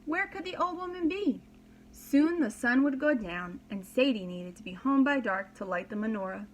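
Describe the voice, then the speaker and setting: A woman's voice: a little high, expressive, moderate pace, slight background noise, low-quality recording. A woman reading a children's story aloud as a preschool teacher, dramatically and expressively, with simple explanations for young listeners.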